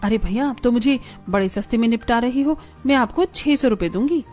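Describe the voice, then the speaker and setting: A woman acting a thrifty, firm cartoon housewife and dutiful daughter-in-law. Her voice is fairly high and soft-edged, with light music behind it. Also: brisk, lightly acted. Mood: firm haggling. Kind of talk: cartoon family drama acting.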